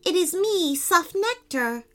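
A woman's voice: extremely high pitch, lively intonation, slow, voice pushed up, full character voice. A woman voicing an anxious, earnest male honeybee for a children's story, urgent and deferential.

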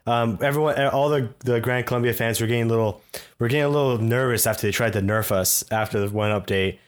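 A man's voice: medium pitch, fast talker, clean tone. A man co-hosting a casual gaming podcast, talking unhurriedly and chuckling as an enthusiastic fan. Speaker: podcast co-host. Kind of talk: casual chat about game patch notes.

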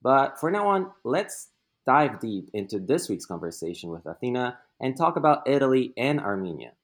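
A man's voice: medium pitch, big pitch swings, moderate pace. A young man hosting a casual podcast interview, asking loose questions with fillers. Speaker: podcast host.

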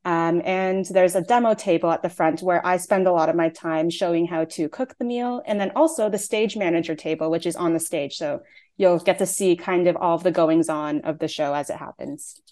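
A woman's voice: fairly low pitch, moderate pace, a little mellow. A young woman in her twenties from Canada, a playwright and actor, chatting through interview answers with frequent "um" and "like" fillers.

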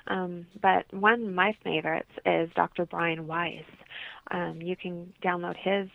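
Gravelly woman on a tinny phone line. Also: fairly low pitch, flat delivery, unhurried, dry recording. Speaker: interview guest, holistic practitioner. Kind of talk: conversational interview answers, explaining.

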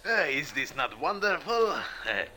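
An actor playing a jovial, crafty nightclub owner in an old radio drama, gushing in hesitant, flowery, formal phrases. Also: male voice, fairly high pitch, big pitch swings, a little slow, pushed and bright, full character voice. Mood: gushing, pleased. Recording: old 1940s radio recording.

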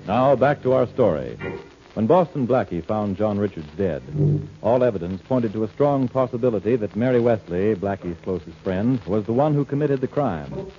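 A male announcer of old radio drama. His voice is low and mellow with dramatic, lively swings, on a dull vintage recording over faint music. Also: moderate pace. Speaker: announcer, narrator. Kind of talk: 1940s radio scripted narration.

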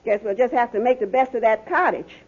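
An actress playing a suspicious, sharp-tongued wife in a 1950s radio sitcom, nagging in folksy dialect. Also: female voice, a little high, fairly quick, breathy edge. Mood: warning, grudging. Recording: muffled old radio broadcast.

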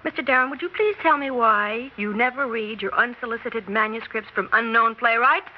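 A woman's voice: a little high, moderate pace, close to an everyday voice. An actress with an American accent playing a nervy, determined young woman playwright in a 1960s radio drama. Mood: confident, pointed. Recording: muffled 1960s radio recording, noticeable hiss.

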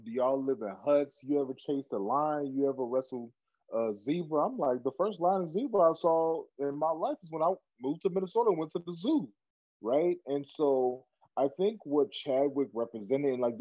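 A man's voice: medium pitch, moderate pace, no room sound, muffled recording. A man from Cameroon co-hosting a podcast, talking earnestly in casual chat and often repeating words as he builds a point. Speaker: podcast co-host.

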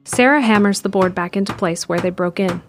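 A woman giving steady scripted narration of an audio drama in the present tense. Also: medium pitch, a little fast, soft background music.

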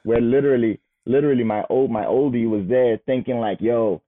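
A man in his thirties, a guest telling his life story in a casual, animated way. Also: mid-range voice, fairly quick. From Nigeria. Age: 30s. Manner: animated, repeats words as he goes. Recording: phone-like narrow sound.

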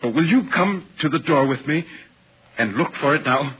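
An actor playing a concerned, questioning gentleman visitor in a 1940s radio horror play, probing and measured. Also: on the high side, expressive, breathy edge. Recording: dull, hissy 1940s radio recording.

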